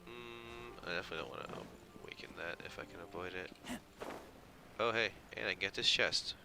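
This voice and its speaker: A man's voice: low voice, fairly quick, vocal fry, noisy background. A man streaming a game with casual, profane commentary, muttering reactions as he plays. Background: game music.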